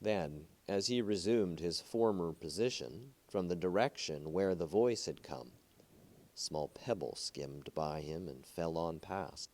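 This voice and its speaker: A man reads a short story aloud as an amateur narrator. His voice sits fairly low, and he phrases the prose in a measured, deliberate storyteller's way. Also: darkish tone. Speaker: amateur reader of short stories.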